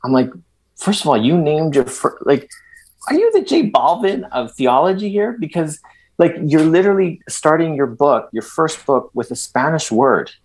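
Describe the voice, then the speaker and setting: Husky-voiced man from El Salvador. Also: a little high, moderate pace, poor audio. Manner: animated, many restarts, emphatic asides. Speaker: guest. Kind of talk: conversational interview.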